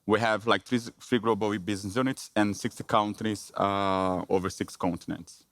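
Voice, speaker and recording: A man with a Brazilian Portuguese accent presents at a business conference. He speaks in a somewhat low voice, often pausing on fillers and restarting phrases. The sound is close and dry, with almost no room echo. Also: fairly even intonation, a bit bright. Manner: halting, many fillers and restarts.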